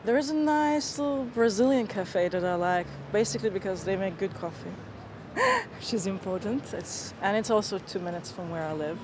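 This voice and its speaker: A woman answers questions outdoors in the city in light, hesitant phrases, pausing as she thinks. Clear outdoor noise runs behind her voice. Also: mid-range voice. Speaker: passer-by interviewee. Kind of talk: casual chat. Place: outdoors in a London park.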